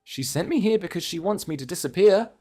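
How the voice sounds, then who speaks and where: Proud princess of twenty-one, played by a male narrator: lifted pitch, formal, coolly defiant, audiobook dialogue. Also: expressive, a little fast, mellow, soft background music. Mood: soft, intent. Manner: formal, composed, precise.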